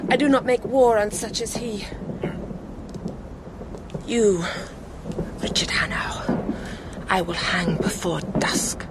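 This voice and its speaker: A woman's voice: medium pitch, takes it slowly, pauses often, very noisy. An actress playing an imperious, seductive and ruthless noblewoman who commands and then drops to cold whispers, in a radio play with storm effects. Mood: commanding. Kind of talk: radio drama acting.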